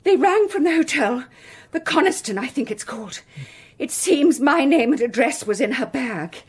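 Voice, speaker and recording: Miss Lawson, fluttery, very breathy lady. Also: high-pitched, lively intonation, moderate pace, heavily acted. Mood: distressed. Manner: fussy, emotional, flustered. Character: fluttery, tender-hearted spinster lady, anxious and easily upset. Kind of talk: radio drama acting.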